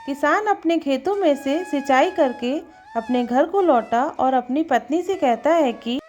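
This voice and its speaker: A woman narrates a scripted tale as storyteller. Her voice is very high, and music plays softly behind it. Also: a little slow, highs cut off.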